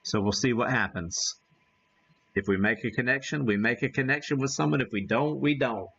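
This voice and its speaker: A man hosting a live hobby stream, giving a casual demo and thinking aloud in a halting way. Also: medium pitch, vocal fry, fairly bright tone, breathy edge, lo-fi sound. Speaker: ham radio live stream host.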